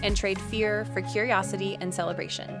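A woman in her thirties co-hosting a podcast, reading an intro and then warmly encouraging guests. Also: mid-range voice, level tone, a little fast, over music. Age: 30s. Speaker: podcast co-host. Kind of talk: scripted intro, then casual interview chat.

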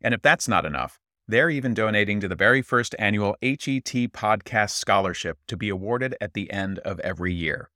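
A man with a bright, forceful tone and a fairly low voice reads a podcast sponsor message. His delivery is upbeat and scripted, recorded dry and close. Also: no echo. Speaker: podcast co-host reading sponsor ad. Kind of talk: read sponsor announcement.

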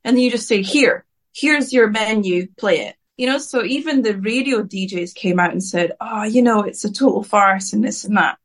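A woman's voice: medium pitch, moderate pace. A woman in her thirties, a singer-songwriter from Scotland, answering interview questions in an outspoken, rambling way, often breaking off and restarting her sentences.

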